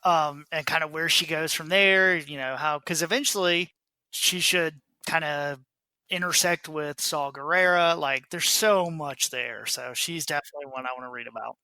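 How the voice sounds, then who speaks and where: A man's voice: fairly high pitch, normal speed, pushed and bright, dry recording. A man co-hosting a podcast in a casual, playful and joking way. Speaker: podcast co-host. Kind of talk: casual book club podcast chat.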